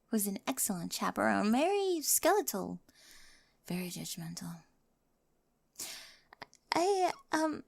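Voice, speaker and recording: A woman's voice: fairly high pitch, creaky, a little mellow, dry recording, studio quality, heavily acted. A woman in an ASMR roleplay, playing a quirky, awkward teenage girl with a crush who rambles nervously and stammers to the listener. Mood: nervous, earnest. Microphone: close microphone, dry.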